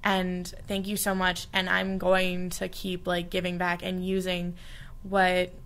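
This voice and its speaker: A woman's voice: on the low side, monotone, a little slow, a bit bright. A young woman from the United States, a study abroad alumna, giving earnest, heartfelt reflections in an interview, with frequent fillers. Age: young adult.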